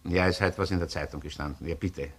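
An actor playing a gruff, shrewd senior police inspector with a Viennese accent in a crime drama, sardonic and probing. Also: male voice, low voice, fast talker. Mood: courteous, inquisitive. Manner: sardonic, interrogating, hesitant muttering between questions. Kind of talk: naturalistic crime radio or TV drama acting.

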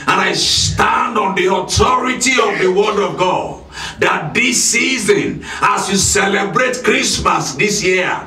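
A middle-aged male pastor preaching slowly and emphatically, repeating key lines and often raising his voice. His voice sits high and sounds bright and tense. It is recorded from across an echoey church hall with faint music behind. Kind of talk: sermon. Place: church hall with red curtain. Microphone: handheld mic at a lectern. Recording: echoey hall, filmed from a distance.

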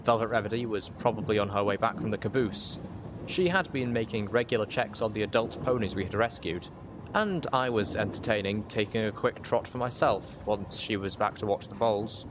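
Low-voiced man narrating, noisy recording. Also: moderate pace. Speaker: audiobook reader, show host. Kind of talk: scripted first-person story narration.

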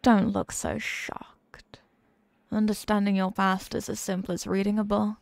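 A woman's voice: mid-range voice, level tone, gravelly, no room sound. A woman voicing a condescending, sadistic and possessive demon queen who mocks the human listener in a scripted ASMR roleplay. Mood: probing, curious. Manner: condescending, mocking, deliberate and teasing.

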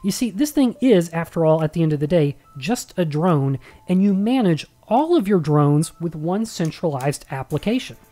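High-pitched mellow American man teaching. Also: expressive, normal speed, few pauses. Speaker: instructor and video host. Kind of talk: tutorial explanation. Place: small home studio room. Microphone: close studio mic on boom arm.

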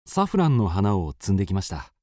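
Guy acting a polite romantic traveller in a radio play, mellow, swoops around a lot, dry sound. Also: low-pitched, huge pitch swings, fairly quick, no echo, exaggerated voice. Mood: gentle, courteous. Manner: polite, earnest, poetic. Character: courteous, romantic Japanese male traveller, wistful and persuasive.

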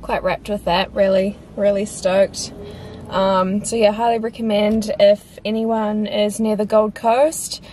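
A woman's voice: mid-range voice, flat delivery, slow pace, dark tone, slight background noise. A young Australian woman chatting casually and slangily to camera in an upbeat way, vlogging from inside a car. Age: young adult. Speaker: travel vlogger.

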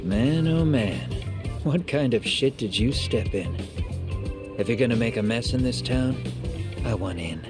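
An actor as a cocky, streetwise fixer over music. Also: male voice, medium pitch, lively intonation, a little slow, natural voice. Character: streetwise local handyman fixer, cocky and wry, middle-aged man. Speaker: voice actor in video game. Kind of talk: English-dubbed video game cutscene dialogue.

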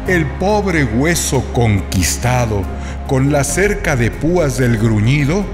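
A man reads in a slow, declamatory voice, his pitch rising and dropping for rhetorical emphasis. Music plays clearly behind him throughout. His voice sits at a middle pitch for a man. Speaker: reader. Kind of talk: dramatic reading of a literary speech. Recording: reading over music.

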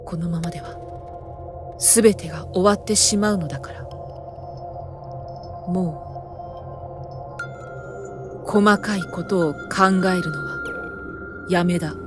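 A woman performing a first-person horror story narration in a theatrical, intense manner, moving between hushed and emphatic delivery. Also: lowish voice, slow, pauses often, music in the background.

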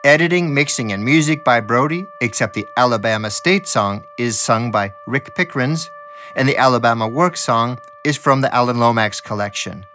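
A man's voice: mid-range voice, normal speed, bright, forceful tone. A man hosting a documentary with scripted narration. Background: music.

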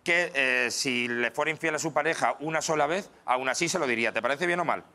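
A man's voice: medium pitch, bright, forceful tone. A young Spanish man doing a playful, teasing comic street interview at night, holding a handheld mic. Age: young adult. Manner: playful, teasing, quick asides. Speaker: street reporter. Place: busy city street at night, outdoors. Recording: street crowd and traffic noise.

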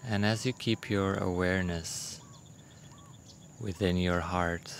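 Slow, deep-voiced man guiding meditation. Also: steady tone, slight background noise. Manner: gentle, unhurried, long pauses between short phrases. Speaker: meditation guide. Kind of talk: guided meditation, soothing instruction.

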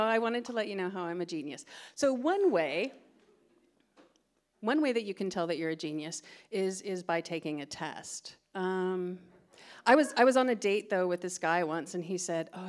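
A middle-aged American woman performs stand-up into a stand mic on a theatre stage. She talks in a chatty, giggly, self-deprecating way, with a pitch that sits in the middle for a woman. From California. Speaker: stand-up comedian. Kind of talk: stand-up comedy set. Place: theatre stage before a live audience. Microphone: stand microphone.

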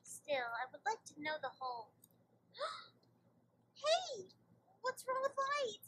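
A woman's voice: very high-pitched, huge pitch swings, slow pace, exaggerated voice. A woman voicing a candid, caring young pony princess in a fan cartoon. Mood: alarmed. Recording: faint noise, played-back web video.

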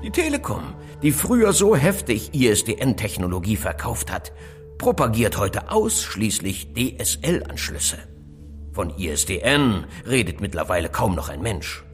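An actor playing a self-assured, cryptic expert who lectures deliberately and emphatically in a German audio drama. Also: male voice, on the low side, sing-song, soft background music. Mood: grave, conspiratorial. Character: self-assured middle-aged male expert on phone surveillance, cryptic.

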